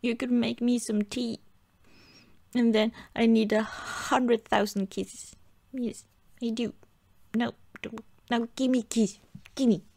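A woman playing a playfully whiny, pouting girlfriend in an improvised ASMR roleplay, with halting, giggly, mock-sulky pleading. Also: a little high, fairly even intonation, creaky, warm tone, heavily acted. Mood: pouty, needy.

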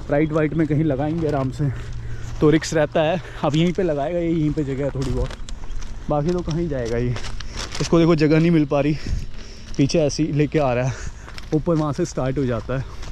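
A man's voice: on the high side, fairly even intonation, normal speed, long pauses, warm tone. A young man giving a casual walk-and-talk travel vlog outdoors at a hillside campsite, chatting and mixing English words into his speech. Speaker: travel vlogger. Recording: faint outdoor noise.

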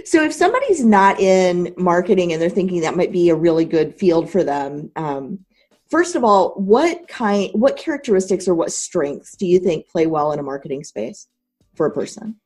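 A woman interviewing on a podcast in an articulate, measured conversational way. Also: lowish voice, big pitch swings, darkish tone.

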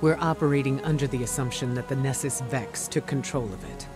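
An actor playing a grave, composed military spymaster giving a serious, measured briefing in a video game. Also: male voice, medium pitch, natural voice. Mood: serious, concerned. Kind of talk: video game cutscene dialogue. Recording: game music behind.

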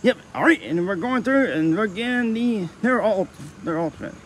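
A young man with a high-pitched voice rambles through a car wash tour, circling back to the same phrases and restarting mid-sentence. He speaks into a handheld phone outdoors, with faint traffic and street hum behind him. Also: expressive, moderate pace. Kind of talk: casual walkthrough vlog. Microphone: handheld phone camera.

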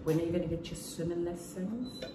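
A woman in her thirties talks with her young daughter while preparing food in a home kitchen. Her voice sits low, and she slips into a playful sing-song. The phone recording is echoey, with loud noise behind it. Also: a little fast. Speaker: vlogger mother. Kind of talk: casual family vlog chat.

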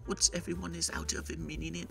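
A man's voice: on the high side, level tone, brisk, creaky, far from the mic, loud music behind the voice. A man playing a refined, easily flustered owl demon prince in a comic dub, speaking in formal, theatrical phrases. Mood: anxious. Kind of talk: comic dub voice acting with outtakes.

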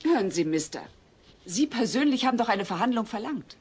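A woman's voice: a little high, big pitch swings, a little fast, a little mellow, breathy edge. An actress playing a no-nonsense, wry middle-aged mother acting as judge in a German comedy audio drama, firm and dryly sceptical. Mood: brisk, wry.

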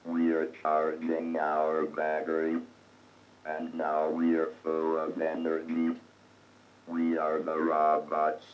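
A male robot voice from an old 1980s computer speech synthesizer, extremely low and very slow. It recites its lines in a stilted, mechanical monotone with a buzzy synthetic tone. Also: poor audio. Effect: vintage computer speech synthesizer. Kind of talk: robotic recitation of song lyrics.

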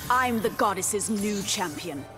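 A woman's voice: medium pitch, animated, measured pace, natural voice. A woman voicing a proud, predatory cat-woman huntress in a video game, poised and taunting. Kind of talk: video game villainess acting. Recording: music behind.

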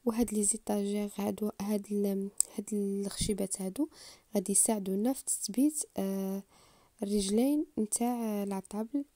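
A woman's voice: mid-range voice, steady tone, warm tone, no echo. A woman with a Moroccan Arabic accent hosting a home DIY channel, explaining furniture assembly step by step to viewers in a voice-over. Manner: unhurried, explanatory, addresses viewers directly.

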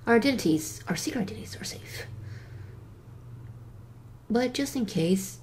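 A woman voicing a devoted, protective young male cat superhero in an audio drama, with earnest, tender, halting delivery. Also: a little high, animated, darkish tone, slight background noise, close to an everyday voice. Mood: reassuring. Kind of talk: fan audio drama acting. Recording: music under the voice.

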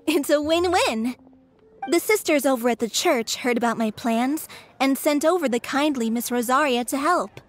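Game role: woman playing an earnest, fretful young shelter owner, high bright voice. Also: normal speed, faint music behind, natural voice. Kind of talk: video game voice acting.

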